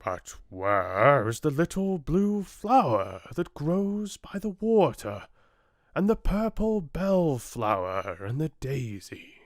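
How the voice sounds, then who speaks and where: Man voicing an ancient oak, slow and grand. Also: a little high, big pitch swings, no room sound, clean studio sound, voice pushed up, full character voice. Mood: yearning. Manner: slow, grand, drawn-out delivery. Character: joyful, awestruck ancient oak tree. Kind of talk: fairy tale audiobook character.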